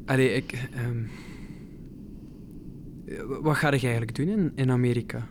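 Audio drama: actor as young Flemish male artist, mid-pitched, awkward and modest, gently stammering, faint noise behind. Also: steady tone, natural voice. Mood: reassuring. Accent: Flemish Belgian. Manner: halting, stammering, self-effacing. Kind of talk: naturalistic Dutch-language radio drama acting.

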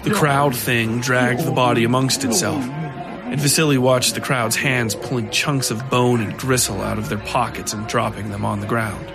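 A man's voice: medium pitch, normal speed, vocal fry. A man narrating scripted horror fiction as a storyteller. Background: music under the voice.

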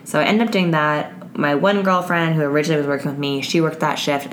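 A woman hosting a casual solo podcast, her voice raspy and hoarse as it gives out. She talks frankly, with lots of fillers and swearing. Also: low voice, slight background noise. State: hoarse, voice giving out. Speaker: podcast host.

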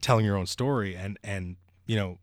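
A man hosting an interview, speaking casually and warmly affirming his guest, with frequent fillers. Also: fairly low pitch, moderate pace, no room sound, clean studio sound. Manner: friendly, with many fillers and affirmations. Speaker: podcast host.

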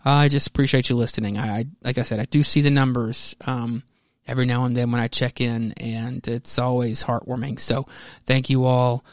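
A man hosting a solo podcast in a casual, rambling, halting way, often correcting himself as he goes. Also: mid-range voice, flat delivery, no room sound, studio quality. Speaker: podcast host.

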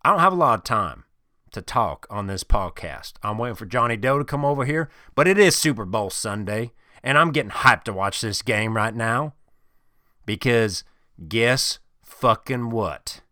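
A man's voice: mid-range voice, lively intonation, moderate pace, dry recording, studio quality. A man doing a crude, swaggering couch football fan. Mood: blustering. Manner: loud, swaggering, profane ranting. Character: crude boastful middle-aged male couch football fan. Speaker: podcast host in character. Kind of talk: improvised comedy character monologue.

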